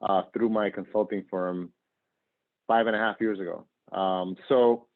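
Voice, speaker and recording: Male interview guest from Argentina, fairly deep, conversational and wandering, heard through a narrow telephone-like connection. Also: steady tone, measured pace. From northern Argentina, moved to the US at ten. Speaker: interview guest, nonprofit executive director. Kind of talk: casual conversational self-introduction. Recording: phone-like, narrow radio-style call audio.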